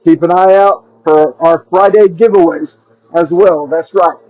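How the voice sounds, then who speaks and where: A man with a high voice introduces a podcast and reads out announcements as its host. The recording is dry and slightly dull, with little room around him. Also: steady tone, unhurried, a little mellow, dry recording.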